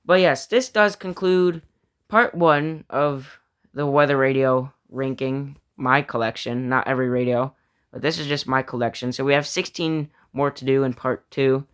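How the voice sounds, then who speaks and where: A man's voice: mid-range voice, fairly even intonation, moderate pace, fairly bright tone, clear, resonant tone. A man giving casual, unscripted review commentary, thinking aloud hesitantly and often repeating his phrases. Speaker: video creator ranking a personal collection.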